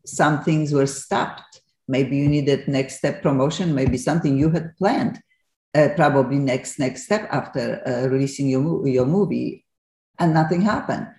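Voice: medium pitch, mellow, echoey room. A talk radio host who interviews a guest and asks long, rambling questions. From Poland.

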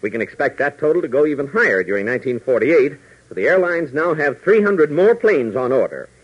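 Old time radio announcer guy, swooping emphatic delivery, bit husky, dull recording. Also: fairly high pitch, sing-song, a little fast. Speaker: announcer and narrator. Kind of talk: 1940s radio announcing, scripted narration.

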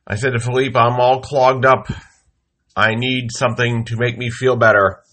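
A man hosting his own show, telling a comic story in a slow, wry deadpan with exasperated outbursts while congested from a head cold. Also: mid-range voice. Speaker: podcast host. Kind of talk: comic monologue. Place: home studio.

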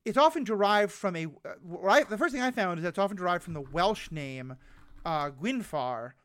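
High-voiced man rambling casually. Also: expressive, moderate pace, fairly bright tone. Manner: rambling, full of fillers. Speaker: podcast co-host. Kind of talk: casual chat.

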